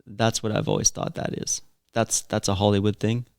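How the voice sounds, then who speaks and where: This is a man talking casually as a co-host, with a low voice and plenty of gravelly fry. He rambles and questions himself as he goes, often restarting his sentences, recorded close and dry. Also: level tone, normal speed, no echo. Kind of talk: casual conversation.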